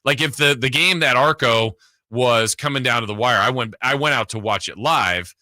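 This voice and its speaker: Bright, forceful man hosting sports talk. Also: medium pitch, normal speed, no room sound, studio quality. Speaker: sports radio host.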